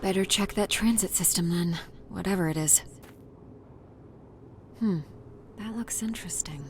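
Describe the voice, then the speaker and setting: A woman's voice: mid-range voice, measured pace, background noise, close to an everyday voice. An actress playing a determined, wary woman scientist stranded on an alien world in a video game, often musing breathily to herself. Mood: curious, focused. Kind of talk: video game cutscene acting. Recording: music behind the voice.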